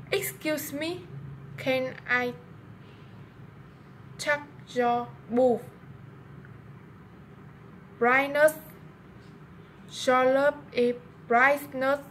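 Young Vietnamese woman, student, slow careful pronunciation drill, phone mic in a small bathroom. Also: high-pitched, slight background noise, poor audio. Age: young adult. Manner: slow, word-by-word practice with stumbles. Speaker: language student. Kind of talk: reading pronunciation homework aloud. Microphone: webcam or phone at arm's length.

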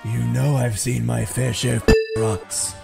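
An actor doing a suave, sensual male cartoon rival with a creaky voice. He is deadpan and pleased with himself. The parody game dub has music underneath. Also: medium pitch, a little slow. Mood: sensual, sly. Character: suave, dry, sensual dark hedgehog rival. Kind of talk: improvised comedic game fandub.

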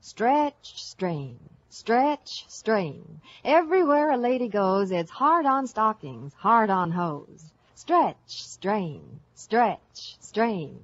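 A woman's voice: mid-range voice, sing-song, extremely slow, few pauses. A woman chanting a rhythmic sing-song jingle on old radio. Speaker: commercial singer. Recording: dull old radio broadcast.

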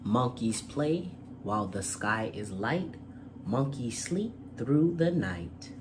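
Man, middle-pitched voice, children's read-aloud host, courteous delivery, noisy recording. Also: lively intonation, slow pace. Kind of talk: storytime read-aloud and channel chat.

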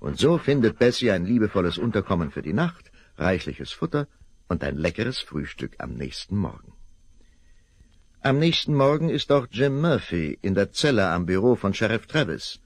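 A man narrating a children's Western radio play in a measured, expressive storytelling manner. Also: lowish voice.

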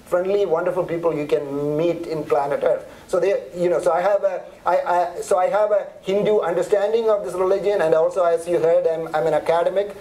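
High-voiced Indian man giving a lecture. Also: steady tone, brisk, hardly any pauses, mellow, rough recording. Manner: chatty, conversational, many restarts and fillers. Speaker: seminar presenter. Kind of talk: church seminar lecture.

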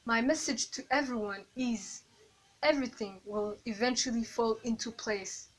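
Young woman from Rwanda, fairly high, calm. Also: moderate pace, low-quality recording. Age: young adult. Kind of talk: recorded video message.

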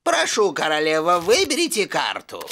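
A woman's voice: fairly low pitch, huge pitch swings, moderate pace, a little hiss or hum, full character voice. A woman voicing a fussy, self-important elf party magician in a children's cartoon dub, theatrical and full of exclamations. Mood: showman-like. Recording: loud music behind the voice.

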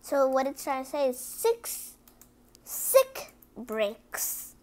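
Very high-voiced woman reading slowly to kids. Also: very animated, in a whisper. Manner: slow, deliberate word-by-word reading with chatty asides to listeners. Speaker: read-aloud host. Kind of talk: children's picture book read-aloud.

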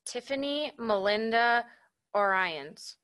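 A woman formally reading out graduate names as a commencement announcer, in a measured, clearly enunciated way. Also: fairly high pitch, expressive, fairly bright tone.

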